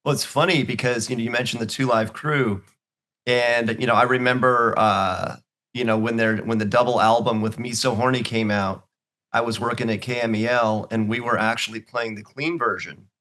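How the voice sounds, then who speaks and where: A man's voice: mid-range voice, steady tone, normal speed, fairly bright tone. A man hosting a casual interview, often stammering and restarting before his questions. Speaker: interview host.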